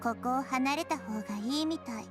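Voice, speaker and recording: A woman voicing a gentle, wise girl deity in an anime game, speaking in a poised, philosophical manner. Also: high voice, very fast, music in the background, natural voice. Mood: wry, disappointed. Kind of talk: anime game voice acting in Japanese.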